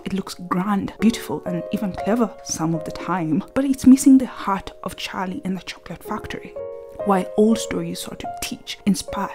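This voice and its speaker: A woman narrating a scripted video essay in an earnest, reflective way, often softly and breathily. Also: mid-range voice.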